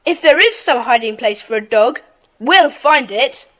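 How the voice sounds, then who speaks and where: A woman playing a decisive schoolboy club leader with a brisk, take-charge manner in a children's audio drama. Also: high voice, lively intonation, fairly bright tone. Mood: keen.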